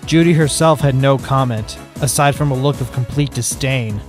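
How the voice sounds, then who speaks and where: A man narrates a fan fiction story in an even, storytelling manner, mid-pitched and unhurried. Faint music sits under his voice.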